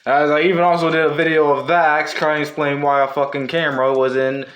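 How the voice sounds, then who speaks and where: A man's voice: fairly high pitch, little pitch movement, fairly quick, fairly bright tone. A man hosting a casual, unscripted video essay, talking in a rambling way that often backtracks and corrects itself. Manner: rambling, self-correcting, hedging. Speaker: video host.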